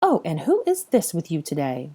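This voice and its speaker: A fawning ministry man, as a woman puts him on, gushing with delight. He stretches his words out slowly and rambles on in a low voice. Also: wildly swooping pitch, mellow, slightly rough, full character voice. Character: cheerful, fawning male ministry worker.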